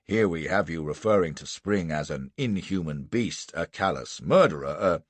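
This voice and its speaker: A man voicing a bullish male prosecuting lawyer in an audiobook, bawling and hectoring with emphatic, deliberate questions. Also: deep voice, animated, no room sound. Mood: disbelieving. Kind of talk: audiobook character acting.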